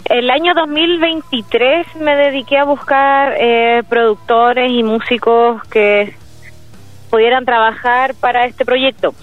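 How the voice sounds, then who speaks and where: A woman's voice: fairly high pitch, steady tone, takes it slowly, soft background music. Chilean woman on a tinny line. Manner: hesitant, many fillers and restarts. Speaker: solo musician, interview guest. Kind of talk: casual interview over a call. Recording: dry, tinny phone-like line.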